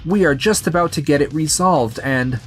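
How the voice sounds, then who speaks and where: A man's voice: a little high, moderate pace, breathy edge. Haughty male company boss, manga dub, voiced by a man: evasive, defensive, faint music behind. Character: proud, defensive male company president, evasive under pressure. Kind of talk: scripted manga dub acting.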